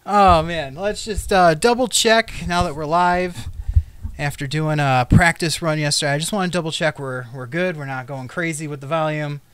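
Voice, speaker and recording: A man hosting a live talk show, chatty, excitable and self-deprecating, a little nervous. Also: on the high side, normal speed, smooth, no echo. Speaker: host.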